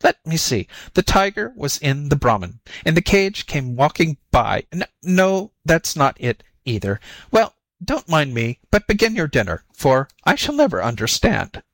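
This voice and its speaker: A man voicing a sly storybook jackal who acts flustered and slow-witted, fretful and full of exclamations. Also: a little high, sing-song, fairly bright tone, dry recording, pitched above the performer's own voice. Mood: flustered, feigned distress. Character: sly jackal feigning muddled, whimpering stupidity. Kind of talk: storybook character voice.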